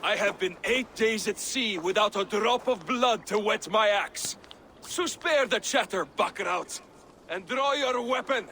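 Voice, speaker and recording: A man's voice: high-pitched, a little slow. An actor playing a brash, boastful Viking raider in a video game, blustering as he talks, over water noise. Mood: threatening. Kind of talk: cinematic video game acting. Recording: loud water and boat ambience.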